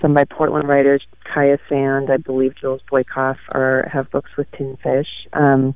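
Guy w/ gravelly voice, bit high, thinking out loud w lots of ums, thin phone-line sound, dry. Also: completely flat delivery, no room sound. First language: French. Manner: thoughtful, halting, many fillers. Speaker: guest author. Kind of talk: casual interview chat. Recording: tinny phone-like radio line.

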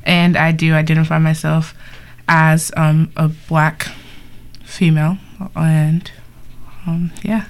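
Young woman guest from oregon, very low voice, casual and chatty, quick to laugh. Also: level tone. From Portland, Oregon, USA. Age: 20s. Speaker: panel guest.

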